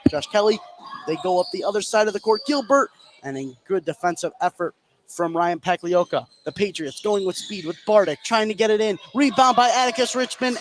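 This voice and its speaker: A man calling live basketball play-by-play from courtside, excitable and ready to raise his voice on big plays. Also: high-pitched, low-quality recording. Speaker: play-by-play announcer. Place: courtside in a gym. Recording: clear background noise on live broadcast.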